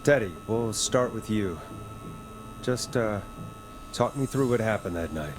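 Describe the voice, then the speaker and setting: An actor playing a calm late-night radio host in a game, questioning in a measured, probing way. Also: male voice, lowish voice, background noise. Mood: calm, calculating. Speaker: radio talk show host. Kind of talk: scripted game voice acting.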